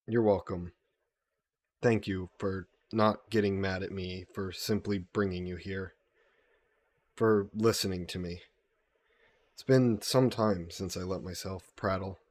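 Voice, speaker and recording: A man playing a gentle, guilt-burdened vampire caretaker in an intimate audio roleplay, speaking politely and formally with some hesitation. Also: low-pitched, steady tone, measured pace, long pauses, dry recording. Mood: gentle, reassuring. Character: gentle, guilt-burdened male vampire employer caring for a guest.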